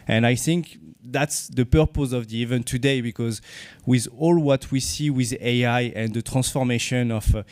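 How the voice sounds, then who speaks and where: A man's voice: mid-range voice, darkish tone, dry recording. French-accented man giving a conference talk. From France. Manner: halting, many fillers and restarts. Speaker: conference speaker, company CEO. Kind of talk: conference presentation. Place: conference venue.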